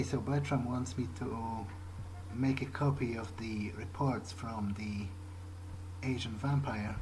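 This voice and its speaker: A man playing a hesitant, protective vampire in an improvised video game roleplay, speaking in a halting, understated way. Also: medium pitch, darkish tone, close to an everyday voice. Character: hesitant, awkward, protective male vampire, musing aloud. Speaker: gamer playing in character. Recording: noisy recording with game ambience.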